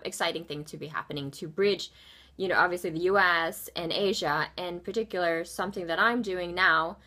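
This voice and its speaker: A young woman with a bright, clear tone speaks with heartfelt conviction. She pauses often on ums as she thinks aloud. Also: on the low side. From born in Indonesia, raised in Baltimore, USA. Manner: earnest, passionate, many ums. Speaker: nonprofit founder. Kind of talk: unscripted video commentary.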